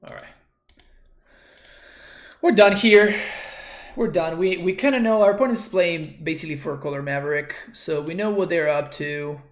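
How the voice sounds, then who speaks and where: A man's voice: a little high, moderate pace, pauses often, reverberant. Man with a Spanish accent, thinking aloud. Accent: Spanish-accented English. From Argentina. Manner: thinks aloud, wry and self-deprecating, frequent restarts. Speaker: streamer. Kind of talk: casual gameplay commentary.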